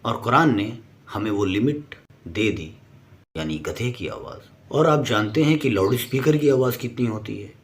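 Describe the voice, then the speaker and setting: A man's voice: mid-range voice, animated, normal speed. A man narrating a scripted explainer in an emphatic, didactic manner. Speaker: presenter.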